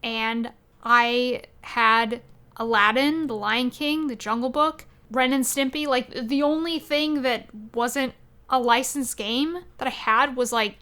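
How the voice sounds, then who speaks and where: Bright, higher-voiced woman chatting casually. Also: fairly even intonation, slow, smooth. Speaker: podcast co-host. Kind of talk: casual podcast chat.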